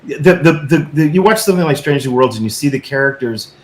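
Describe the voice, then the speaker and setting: A man in a noticeably echoey room, talking off the cuff as a guest. His delivery is halting and repetitive, and he presses his points insistently, often checking that the listener agrees. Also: mid-range voice, expressive. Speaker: guest filmmaker. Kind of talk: casual unscripted discussion. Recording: echoey, roomy sound.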